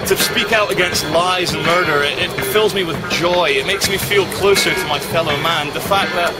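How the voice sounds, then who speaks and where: A man with a high voice speaks earnestly and outspokenly in a street exchange, repeating words as he goes. He is recorded outdoors under loud music. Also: moderate pace, rough recording. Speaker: street activist.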